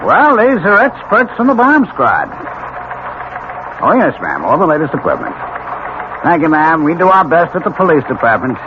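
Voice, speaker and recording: An actor playing a jaded, sardonic police lieutenant with an American accent and slangy, wry delivery in a vintage radio drama. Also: male voice, on the high side, huge pitch swings, normal speed, long pauses. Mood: patiently placating. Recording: tinny old radio broadcast, clear background noise.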